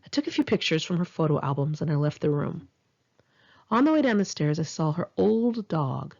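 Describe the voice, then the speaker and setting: Expressive lower-pitched woman reading memoir. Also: moderate pace, vocal fry, highs cut off. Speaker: author reading own memoir. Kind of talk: first-person memoir narration, audiobook read in one take.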